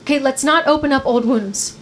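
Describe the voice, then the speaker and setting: A woman's voice: high voice, fairly quick, lightly acted. A woman acting a tense, defensive, worn-out wife at a screenplay table read. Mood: insistent. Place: live film festival table read. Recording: live reading with faint background noise.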